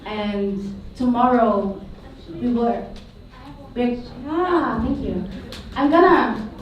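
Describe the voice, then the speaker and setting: A woman moderating a panel who stutters and speaks haltingly. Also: fairly high pitch, dull audio. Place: stage of an arts venue hall. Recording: echoey hall, voice from far off.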